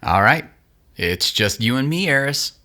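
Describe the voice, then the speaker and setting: A cocky young man played by an actor with a bright, forceful voice and very animated pitch. He is a scrappy gambler, brash and wheedling, in an audio drama. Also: a little slow, a little hiss or hum.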